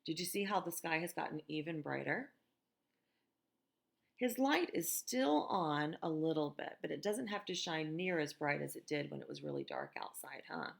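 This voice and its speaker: An American woman reading a picture book aloud to children in a gentle, expressive teacher's manner, with conversational asides. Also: low voice, normal speed, gravelly. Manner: gentle, expressive, asks listeners questions.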